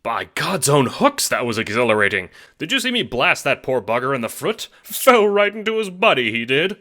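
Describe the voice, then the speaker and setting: A man's voice: medium pitch, sing-song, normal speed, sharp tone, pitched above the performer's own voice. Man voicing a blustery, bossy old adventurer. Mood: exhilarated. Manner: bossy, grumbling, old-fashioned blustery talk. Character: brash, gruff, gleeful old male adventurer with a gun. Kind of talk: audio drama character acting.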